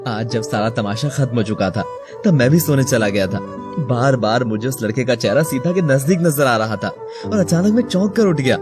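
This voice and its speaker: A man's voice: medium pitch, animated, normal speed, over music, lightly acted. A man acting a remorseful young married man in an audio story, speaking in an anguished, imploring, dramatic way. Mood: troubled. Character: remorseful married young man pleading with his childhood love.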